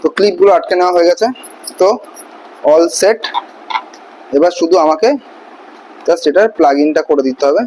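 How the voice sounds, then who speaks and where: High-pitched man giving a tutorial. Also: normal speed, pauses often, darkish tone, slight background noise, poor audio. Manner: informal, often checks in with the viewer. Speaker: vlogger demonstrating motorcycle gear. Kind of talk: casual hands-on tutorial, step by step.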